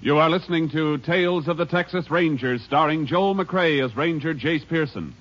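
A man's voice: a little high, moderate pace, over music. Formal vintage radio announcer man. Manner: formal, declamatory. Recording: dull vintage radio broadcast, distant mic.

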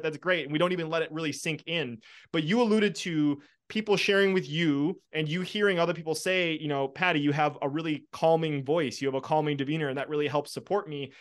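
Fairly high-voiced male host, reflective, enthusiastic. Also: a bit bright. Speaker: podcast host. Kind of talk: podcast interview.

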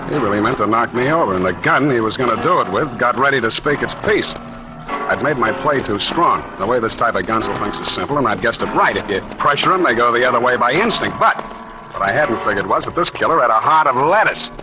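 A man plays a hard-boiled private eye in an old radio crime show. His mid-pitched voice moves in lively swings as he tells his case with wry slang, music behind him. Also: fast, close to an everyday voice, low-quality recording. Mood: tense, wry. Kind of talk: 1940s radio acting and first-person narration.

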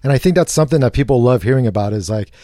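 A man's voice: mid-range voice, lively intonation, racing, mellow, no echo, clean studio sound. A man co-hosting a podcast in an easygoing, joking way. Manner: easygoing, jokey. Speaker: podcast co-host. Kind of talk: casual chat.

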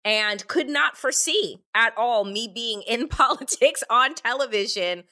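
A woman hosting a conversational interview, animated and talking in quick restarts and asides. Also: on the high side. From Jamaican family. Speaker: interview host.

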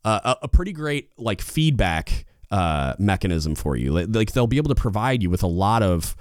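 A man with a loud, booming voice giving casual leadership advice as a podcast host, getting louder when fired up. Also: very animated, normal speed, no room sound, studio quality. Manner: gets louder when fired up, rambling restarts.

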